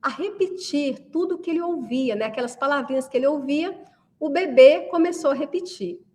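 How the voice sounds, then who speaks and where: A woman's voice: high voice, a little fast, a little mellow, big-room sound. A woman in her thirties giving a friendly online class, chatty and often checking in with the listener. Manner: chatty, keeps asking "né?" to the viewer. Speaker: course presenter.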